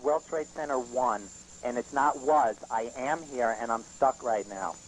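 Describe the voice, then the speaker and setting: A man phoning in a live eyewitness report to TV news, composed and deliberate as he stresses key facts. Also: medium pitch, steady tone. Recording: noisy archived phone call on TV broadcast.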